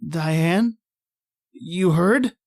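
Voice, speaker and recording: Casting: male actor for astonished, curious radio-horror hero, urgent and halting, recorded dry. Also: high-pitched, no room sound, heavily acted. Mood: stunned disbelief. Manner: stammering, breathless urgency. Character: awestruck, insistently curious man confronting an alien. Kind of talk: old-time radio horror acting.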